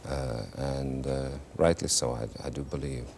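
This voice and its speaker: A man, an actor and singer whose first language is German, telling stories in a reflective, halting way with wry humor in a television interview. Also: very deep voice, steady tone, vocal fry, a little mellow. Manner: thoughtful, halting with many restarts, wry humor. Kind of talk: reflective conversational storytelling. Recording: faint hiss, 1970s TV studio.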